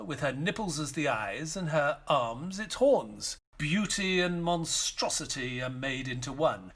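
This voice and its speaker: An actor playing a loyal, doubtful, long-suffering male companion and narrator in an audio play, measured and literate. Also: a little high, fairly bright tone, breathy edge, heavily acted. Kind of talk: audio play acting and first-person narration.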